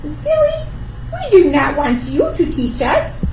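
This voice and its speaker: A woman voicing tiny, eager storybook squirrels, squeaky and pleading. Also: wildly swooping pitch, slow pace, darkish tone, heavily acted. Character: tiny eager squirrels begging to learn dancing. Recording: roomy, distant mic, faint noise.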